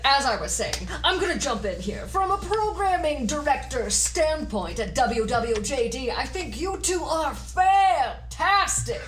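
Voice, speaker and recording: A woman playing a brisk, officious radio programming director in a comedy script table read. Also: high-pitched, lively intonation, roomy sound, background noise. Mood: brisk, chipper. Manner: businesslike, officious.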